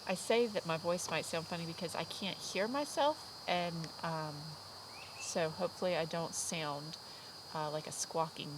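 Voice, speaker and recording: A fairly low-voiced woman talks a class of young children through a lesson from outdoors, her voice a little stuffy from a blocked nose. She encourages the kids, fills pauses with ums and likes, and breaks into simple teaching songs. Crickets and insects chirp clearly behind her. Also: noisy background. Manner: warm, encouraging, with many fillers. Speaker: kindergarten teacher. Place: outdoors in a garden by an orange tree.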